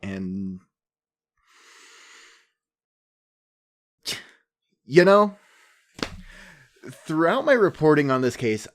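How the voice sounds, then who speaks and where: An actor playing an earnest, troubled journalist, narrating reflectively with hesitant pauses like a podcast host. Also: male voice, medium pitch, natural voice. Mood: earnest, conflicted. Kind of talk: podcast-style narration in audio drama.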